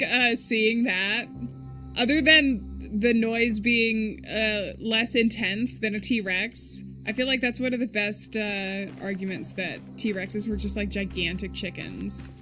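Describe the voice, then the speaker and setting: A woman with a fairly high voice chats through a live game stream, laughing often and calling out loudly at surprises. Game music plays clearly under her voice. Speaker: streamer playing games.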